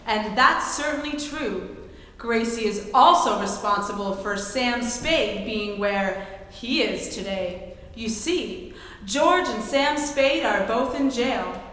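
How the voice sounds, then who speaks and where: A woman's voice: a little high, slow, a bit bright. A woman playing an old-time radio announcer, narrating a scripted comedy. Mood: wry, measured. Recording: echoey hall, long reverb.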